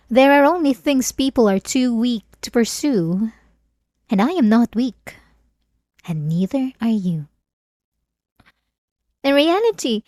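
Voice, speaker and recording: Woman acting as obsessive female vampire, unhurried, seductive, taunting, theatrical ASMR roleplay, close and dry sound. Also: medium pitch, dark tone, dry recording. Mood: coaxing, bargaining. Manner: slow, theatrical, seductive taunting with drawn-out pauses. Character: obsessive, possessive, manipulative centuries-old female vampire lover.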